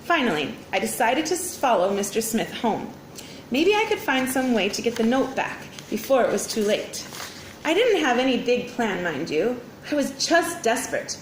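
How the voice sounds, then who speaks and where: A woman reading a children's story aloud in an expressive, dramatic teacher's storytelling manner. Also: on the high side, normal speed. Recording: roomy echo, faint background noise.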